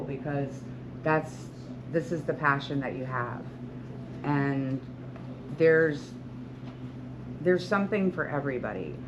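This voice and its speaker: A woman in her sixties, a hairstylist and salon owner, talking earnestly to beauty students in an encouraging, rambling way with many restarts. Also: deep voice. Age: 60s. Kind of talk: talk to beauty school students. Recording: lo-fi with loud background noise.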